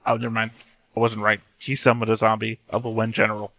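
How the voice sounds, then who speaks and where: A man's voice: fairly low pitch, completely flat delivery, fast, pushed and bright, dry recording. A man giving casual reaction commentary on a show, musing and thinking aloud as he watches. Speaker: host of an anime reaction channel.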